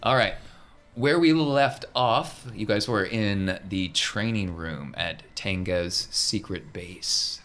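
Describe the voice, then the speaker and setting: A man's voice: on the low side, slow, fairly bright tone, soft background music. A man hosting a tabletop roleplaying podcast as game master, in an encouraging, conversational way.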